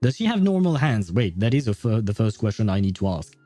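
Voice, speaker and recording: Mellow, rambling male livestreamer, non-native English. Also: fairly low pitch, big pitch swings, fairly quick, no room sound. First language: not English. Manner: rambling, hesitant, polite, chuckling at himself. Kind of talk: casual livestream chat with viewers over gameplay. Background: faint game music.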